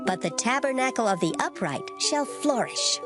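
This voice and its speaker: A woman giving a dramatized reading of scripture in formal old English, measured and solemn. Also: on the high side, animated, lightly acted. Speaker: scripture reader. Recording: loud music behind the voice. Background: music.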